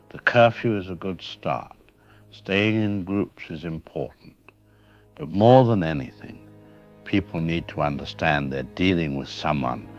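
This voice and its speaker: A man playing a professor interviewed as an expert in a radio drama, speaking in a measured, analytical way. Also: low voice. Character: grave male professor explaining a killer's mind. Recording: dull lo-fi radio sound with faint music.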